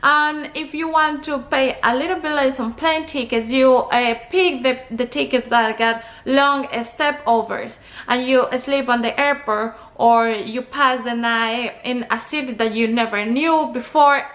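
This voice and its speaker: A woman's voice: sits high, steady tone, unhurried, hardly any pauses, fairly bright tone. A young woman from Colombia, a native Spanish speaker with a Colombian accent, giving earnest travel advice to camera and counting off her points. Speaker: travel vlogger. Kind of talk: talking to camera.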